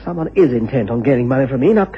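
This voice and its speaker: An actor playing an anxious young upper-class English student in a radio drama, stammering and fussy. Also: male voice, medium pitch, expressive, racing, husky. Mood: agitated. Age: young adult. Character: flustered, anxious young aristocratic male college student. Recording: muffled, hissy old radio recording.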